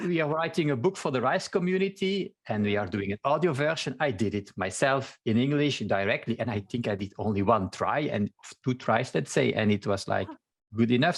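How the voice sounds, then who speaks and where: A man from Belgium, a native Dutch speaker with a Belgian accent, chatting earnestly as a marketer guest on a podcast. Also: medium pitch. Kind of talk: casual interview chat.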